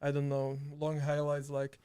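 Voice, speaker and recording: A Slovak man chatting in halting, hesitant English, full of restarts and laughter, sitting a bit far from the mic. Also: a little high, monotone, warm tone, clean tone. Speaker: streamer and video editor guest. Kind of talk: casual chat. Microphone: too far from the mic.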